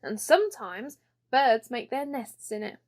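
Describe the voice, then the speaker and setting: A woman's voice: a little high, expressive, a little slow. A woman voicing a bossy, boastful young princess with a haughty, grandly matter-of-fact manner in a children's storybook.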